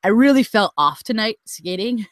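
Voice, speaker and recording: A woman's voice: medium pitch, expressive, moderate pace, dry recording, studio quality. Giggly woman co-host saying "like" a lot. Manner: giggly, heavy use of "like", trailing off. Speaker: podcast co-host. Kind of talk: casual podcast chat.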